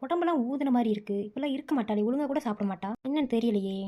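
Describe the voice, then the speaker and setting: A woman's voice: on the high side, animated, rapid-fire, mellow. A woman playing a concerned young onlooker in an audio drama, wondering aloud in a puzzled way.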